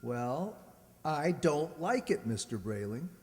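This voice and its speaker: A man acting in a radio play as a polite, aggrieved humanoid robot double, measured and plaintive, recorded in an echoey hall. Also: a little high, sing-song. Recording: echoey hall with long reverb.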